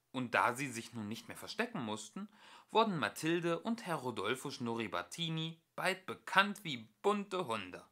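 A young man reading a children's storybook aloud as an amateur narrator, with expressive storytelling. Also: mid-range voice.

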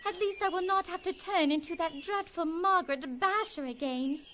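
A woman's voice: very high voice, normal speed, heavy background noise. A woman voicing a prim aviator with a fierce temper in a video game, theatrical and able to turn menacing. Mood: prim, dramatic.

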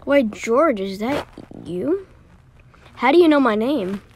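Amateur animation voice: woman, fairly high, unhurried, drawn-out dramatic delivery. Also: animated, a little hiss or hum, poor audio. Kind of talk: amateur voice acting for animated story.

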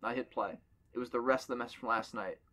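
A man's voice: medium pitch, fast talker, fairly bright tone. A man on a podcast reading a horror story aloud to friends and joking between passages. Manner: reads dialogue aloud, jokes between passages. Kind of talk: casual reading with banter.

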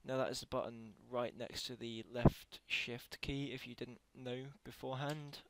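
A man giving a casual software tutorial as a voice-over, talking haltingly and correcting himself as he goes. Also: mid-range voice, steady tone, a little hiss or hum. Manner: halting, self-correcting while typing. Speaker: tutorial creator.

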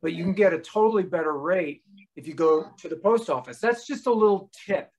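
American man, halting casual talker. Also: sits high, expressive, rough recording. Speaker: host and touring musician. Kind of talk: casual video-call chat. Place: room at home with bookshelves.

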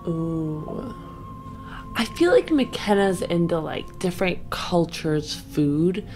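A woman with a slightly low voice chats informally on a podcast. She thinks aloud as she talks, and music plays in the background. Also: expressive, slow pace, darkish tone. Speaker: podcast guest and game player. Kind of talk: casual podcast chat.

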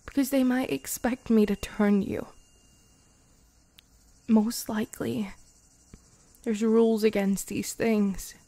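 A woman voicing a centuries-old vampire girlfriend, wistful and protective, in soft, intimate ASMR roleplay that drifts into whispers. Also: mid-range voice, no room sound. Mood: anxious, protective. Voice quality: soft, breathy whispery moments. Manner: slow, hesitant, sighing between phrases.

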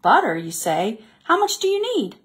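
A woman's voice: fairly high pitch, big pitch swings, normal speed, a little hiss or hum. A woman voicing a kind, generous female porcupine from a storybook, helpfully calling out to her neighbours. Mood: eager, generous.

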